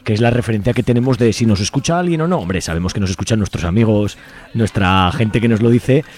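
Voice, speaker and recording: A man's voice: lowish voice, quick pace. A man with an accent from Spain hosting a live community radio show informally, chatting directly to listeners. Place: radio studio. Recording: music playing behind the voice. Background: lively music.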